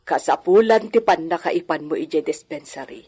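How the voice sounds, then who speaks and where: A woman's voice: deep voice, moderate pace, warm tone, husky. An actress playing a caring, bossy village midwife in a radio drama, admonishing and motherly, with a Filipino accent. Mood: scolding concern. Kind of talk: Ilocano radio drama acting.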